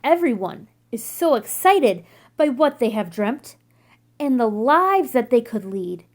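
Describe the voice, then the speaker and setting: Very animated, high-voiced woman storyteller. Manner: animated, deliberate storytelling for young children. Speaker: children's story reader. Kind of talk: expressive children's picture book read-aloud.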